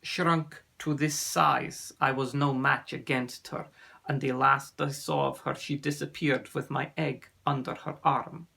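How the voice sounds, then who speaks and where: A man reading a children's story, voicing a proud, grave father sea serpent in a solemn, measured manner. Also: medium pitch, flat delivery, creaky, sharp tone, husky, heavily acted. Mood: recounting.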